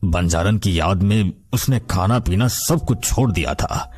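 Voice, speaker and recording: A man playing a grave old village grandfather in an animated story. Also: low-pitched, unhurried. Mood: regretful. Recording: loud music under the voice.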